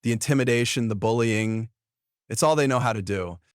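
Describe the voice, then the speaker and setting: A man's voice: mid-range voice, brisk, no echo, studio quality. Blunt, mocking man commentator. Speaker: online commentary host. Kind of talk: opinionated gossip commentary.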